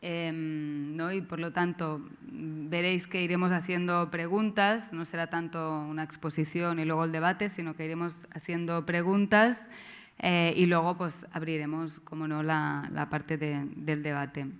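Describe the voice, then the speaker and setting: A woman with a Catalan accent, whose first language is Catalan, opens a seminar session as its organizer, talking haltingly with frequent tag questions and restarts. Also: low voice, steady tone, clean tone, muffled recording. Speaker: course organizer and moderator. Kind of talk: seminar introduction.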